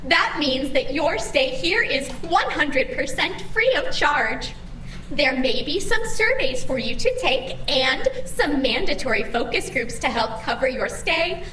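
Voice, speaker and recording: A woman's voice: sits high, very animated, unhurried, bright, forceful tone, a little hiss or hum, exaggerated voice. A woman playing a cheerfully officious computer program in an audio drama, speaking formally and with unsettling frankness. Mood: salesy welcome. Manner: formal, contraction-free, cheerfully officious speech. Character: chirpy female computer program greeting the digitized dead, unsettlingly honest. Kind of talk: scripted audio drama acting. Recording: music behind the voice.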